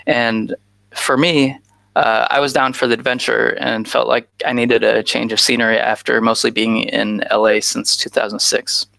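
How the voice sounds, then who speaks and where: Man, 30s, gravelly, bright, dry headset sound, talk over a video call, lots of "uh". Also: medium pitch, little pitch movement, moderate pace, no echo, low-quality recording. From United States. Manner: frequent "uh" fillers, earnest and informal. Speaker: guest speaker.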